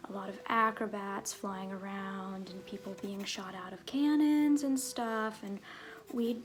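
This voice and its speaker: Woman playing a slow-talking, flirty circus fool. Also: medium pitch, steady tone, lightly acted. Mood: businesslike, awkward. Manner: flirty, awkward, halting, theatrical. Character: flirty, bumbling tiefling circus fool, self-deprecating showoff. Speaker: ASMR roleplay performer. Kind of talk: ASMR character roleplay, addressing the listener.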